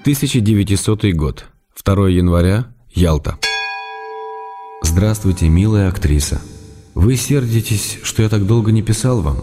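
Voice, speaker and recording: A gently ironic writer, homesick and unwell, writes tender letters from afar, voiced by an actor in a radio play. His very low voice is dark and mellow, unhurried and wry, over faint music. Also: expressive, natural voice. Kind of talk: radio drama letter reading.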